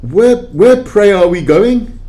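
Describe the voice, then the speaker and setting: A man's voice: high voice, expressive, slow pace, mellow. A man acting as a miserly, fearful man in an amateur audio play, theatrical and imploring. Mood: timid wonder.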